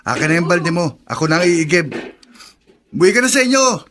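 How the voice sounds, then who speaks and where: A man's voice: fairly high pitch, big pitch swings, brisk, fairly bright tone. A man playing a helpful, insistent young construction worker, eager and friendly, in a radio drama.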